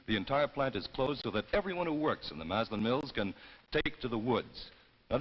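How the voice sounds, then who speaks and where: An American man announcing a 1950s anthology broadcast and reading the sponsor's pitch in a formal, polished, salesmanlike way. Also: a little hiss or hum. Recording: muffled 1950s broadcast with music behind.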